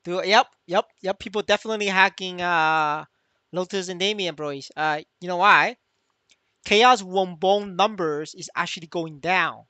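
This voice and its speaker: Male gaming streamer, bright sharp tone, dry recording, stammering and repetitive, casual and sweary. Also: high voice, unhurried, no room sound, lo-fi sound. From Vietnamese. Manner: stammering, repeats words, swears freely. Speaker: game streamer. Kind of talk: casual livestream chat.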